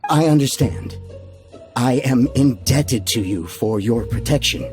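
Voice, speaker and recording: An actor playing an oily, scheming middle-aged merchant in a video game, obsequious and placating. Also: male voice, mid-range voice, a little slow, darkish tone, soft background music, natural voice. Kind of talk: video game character dialogue.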